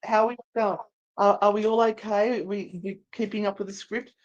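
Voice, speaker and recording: A woman's voice: mid-range voice, little pitch movement, normal speed, highs cut off. A woman hosting and narrating a dramatic story read in a theatrical, playful way, with casual banter. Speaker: host and narrator.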